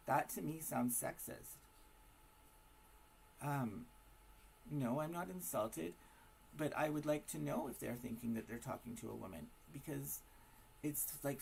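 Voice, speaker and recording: A hoarse man with a raspy voice, giving a casual, emphatic opinion monologue to camera full of rhetorical questions. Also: mid-range voice, a little hiss or hum, rough recording. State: hoarse from smoking and partying. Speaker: vlogger.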